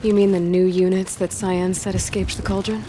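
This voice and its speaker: A woman's voice: medium pitch, little pitch movement, moderate pace, noisy background, natural voice. A woman playing a plucky young hunter heroine in a video game, speaking in a direct, earnest and dryly wry way. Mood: frank resolve. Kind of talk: naturalistic video game acting. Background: game score.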